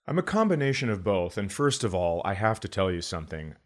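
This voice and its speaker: A middle-aged man talks casually as a comedian co-hosting a podcast. His voice sits low. His delivery is dry and wry, in loose conversational phrasing. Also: big pitch swings, fairly quick.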